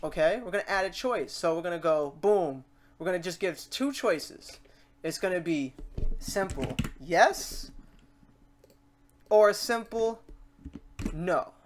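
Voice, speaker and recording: A woman hosting a casual software tutorial, talking informally and reassuringly to the viewer in an animated way. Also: fairly low pitch, a little slow, long pauses, slight background noise. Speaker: tutorial host.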